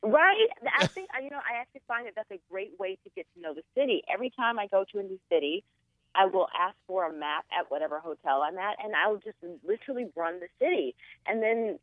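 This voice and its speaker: A woman guest actress chatting in a radio interview, bubbly and quick to laugh. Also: medium pitch, animated, no echo. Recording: tinny phone line.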